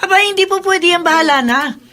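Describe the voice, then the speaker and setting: An actress playing a pushy, money-minded middle-aged aunt in a Filipino radio drama, scolding and domineering. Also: female voice, very high-pitched, brisk, sharp tone. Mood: pushy, scornful.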